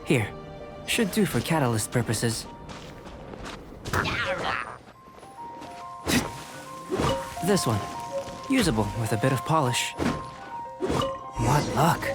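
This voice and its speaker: A man playing a calm, scholarly young alchemist in a video game, speaking in a measured, precise, formal way. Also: medium pitch, loud music behind the voice. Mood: matter-of-fact. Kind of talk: English dub video game dialogue.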